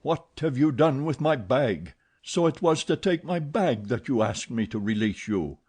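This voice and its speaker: A man's voice: mid-range voice, sing-song, measured pace, mellow, breathy edge. A man voicing an angry, jealous masked man who snarls commands and mockery in an audiobook. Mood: furious. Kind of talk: audiobook character voice.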